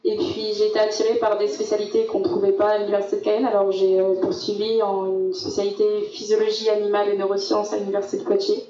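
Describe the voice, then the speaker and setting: A young woman from French Guiana, a master's student, describing her studies in a steady way with fillers. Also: medium pitch, quick pace, hardly any pauses, faint music behind. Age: young adult. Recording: dull roomy sound from far off.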